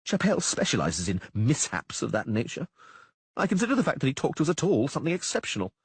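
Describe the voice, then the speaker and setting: A jittery young minstrel who whines in a high, husky voice, put on by a male narrator. He talks in anxious bursts from an audiobook. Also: huge pitch swings, fast, no echo, heavily acted. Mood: fretful. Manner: whining, shrill and panicky. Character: frightened young male troubadour, whiny.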